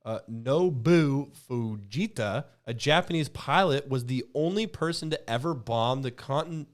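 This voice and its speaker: Animated joking man on a podcast. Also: mid-range voice, measured pace. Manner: joking, swearing, sarcastic. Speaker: podcast co-host. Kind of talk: casual banter, reading out online posts.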